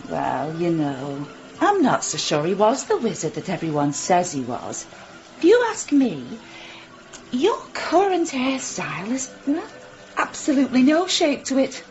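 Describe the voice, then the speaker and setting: An actress playing a falsely polite, guarded hair salon owner in a radio drama, deliberate and evasive. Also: female voice, mid-range voice, wildly swooping pitch, highs cut off, noisy background. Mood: sly, prim.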